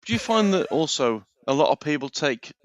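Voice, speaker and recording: A man's voice: a little high, very animated, brisk. A man chatting casually on a talk show. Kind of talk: casual chat.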